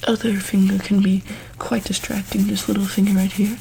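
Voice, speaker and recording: A woman softly speaking and whispering in an ASMR roleplay as a calm, gentle doctor, with hesitant, reassuring bedside talk. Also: medium pitch, a little fast, slight background noise, rough recording. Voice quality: soft, breathy, often dropping into whisper. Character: calm, attentive doctor at a monster hospital. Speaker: ASMR roleplayer playing a medical examiner.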